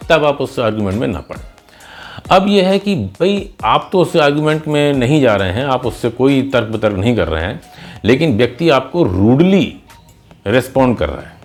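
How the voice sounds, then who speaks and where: A man's voice: medium pitch, a little mellow. A man narrating in a conversational, explanatory teacher's style, mixing in English words as he tells a moral story and gives advice.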